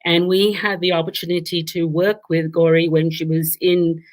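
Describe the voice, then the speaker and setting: A woman with a slightly low voice acts as moderator of an online talk. She introduces guests in a halting manner, doubling back on words as she goes. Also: flat delivery. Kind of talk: introducing a speaker at an online event.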